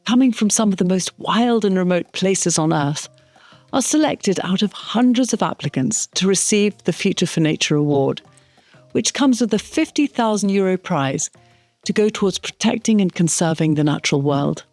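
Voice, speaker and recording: A narrator reading a scripted podcast introduction in a measured, polished way. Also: medium pitch, animated, a little mellow, no room sound, soft background music.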